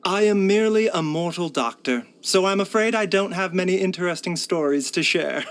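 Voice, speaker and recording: A man voicing a courteous, wry pharmacist and doctor in a video game, speaking in measured, formal phrases. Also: high voice, expressive, smooth. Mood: courteous, modest. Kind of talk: scripted video game character voice lines.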